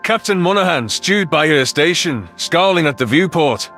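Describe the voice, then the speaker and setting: A male narrator delivers a dramatic first-person sci-fi story. His voice is on the higher side, and faint music plays behind the scripted reading. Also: lively intonation, moderate pace, pushed and bright. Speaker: audiobook narrator. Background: background music.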